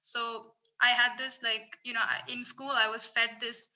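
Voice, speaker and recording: Bright-voiced young woman, earnest guest. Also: on the high side, little pitch movement, measured pace, low-quality recording. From Singapore. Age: young adult. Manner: earnest, thoughtful, many restarts. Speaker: guest, climate researcher. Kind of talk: casual podcast interview.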